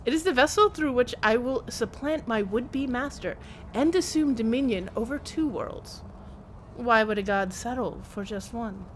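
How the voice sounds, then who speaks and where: A woman's voice: a little high, normal speed, slight background noise, poor audio. A woman game streamer who reads in-game text aloud and adds casual commentary.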